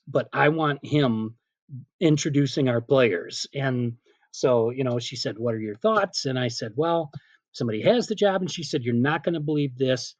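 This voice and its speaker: A middle-aged man, a PA announcer being interviewed, telling casual anecdotes in an animated way and quoting remembered dialogue. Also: mid-range voice, dry recording. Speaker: stadium PA announcer, interview guest.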